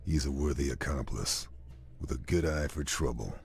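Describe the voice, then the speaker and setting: Grim military sniper, game briefing narrator: extremely low voice, deadpan and measured, music underneath. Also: male voice, creaky. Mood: grim, matter-of-fact. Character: grim, gravel-toned Allied army sniper, stoic and determined. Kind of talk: scripted mission briefing narration. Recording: dramatic music behind the voice.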